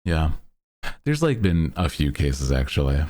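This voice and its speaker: A man's voice: a bass voice, very animated, a little slow, darkish tone. A man hosting a livestream, chatting casually with viewers in a rambling, jokey, self-deprecating way. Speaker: VTuber livestream host. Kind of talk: casual chat with stream viewers.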